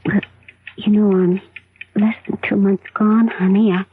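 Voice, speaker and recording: An actress playing an anxious, gentle young wife in a 1970s radio drama, tentative and halting. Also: female voice, medium pitch, level tone, natural voice. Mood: timid, apologetic. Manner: tentative, halting, soft-spoken. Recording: noisy phone-like old radio broadcast.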